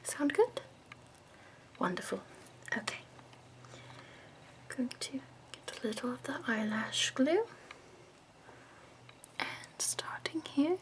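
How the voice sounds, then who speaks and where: A woman voicing a prim, chipper escort who does your makeup, speaking softly in a half-whisper with fussy, doting praise. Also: high-pitched, animated. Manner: soft-spoken, half-whispered and slow. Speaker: ASMR roleplay performer. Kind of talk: soft-spoken ASMR roleplay.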